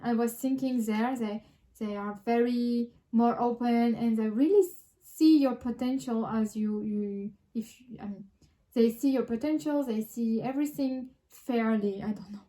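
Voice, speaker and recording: French-accented woman with a mellow voice. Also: on the high side, unhurried, hardly any pauses, poor audio. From France. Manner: frequent restarts and hesitations, reflective. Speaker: vlogger. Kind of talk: casual personal vlog.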